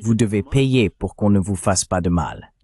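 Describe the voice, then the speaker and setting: Male synthetic voice-over, lowish pitch, for translated panel commentary; explanatory and rhetorical. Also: animated, normal speed, warm tone. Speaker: political and economic commentator.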